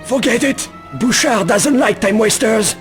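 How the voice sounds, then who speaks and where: A surly old man with a strikingly high voice for a male. He is irritable and suspicious, grudging with every line, in a video game cutscene with faint music. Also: unhurried. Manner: gruff, dismissive, irritable.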